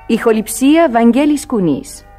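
A woman's voice: fairly high pitch, animated. A woman announcing a radio drama in a scripted, formal read. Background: music.

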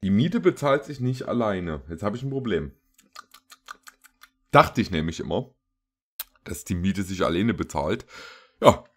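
A man's voice: fairly low pitch, very animated, a little slow, pauses often, a bit bright. A man in his twenties giving casual, joking reaction commentary in a rambling, mock-serious way. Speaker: reaction video host. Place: small indoor gaming room.

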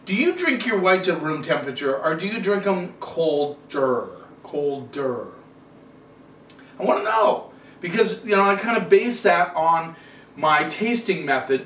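A man's voice: fairly high pitch, fairly bright tone. A middle-aged American man hosting a casual wine review, chatting to camera with rambling asides in a small indoor room. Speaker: wine reviewer and show host. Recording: roomy, distant mic, slight noise.

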